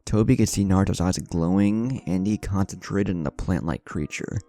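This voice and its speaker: A man's voice: low-pitched, normal speed, mellow. A man narrating a scripted story with dialogue. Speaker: fan fiction narrator.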